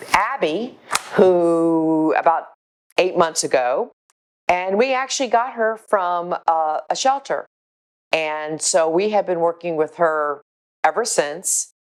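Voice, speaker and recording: Slow, low-voiced older woman. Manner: earnest, deliberate, firm. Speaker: animal shelter volunteer. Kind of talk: casual spoken account to camera, showing photos.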